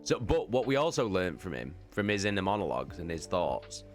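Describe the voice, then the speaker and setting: A man's voice: low-pitched, very animated, brisk, faint music behind. A man, chatting loosely through a book recap on a livestream, with fillers and the odd swear word. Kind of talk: casual book recap chat.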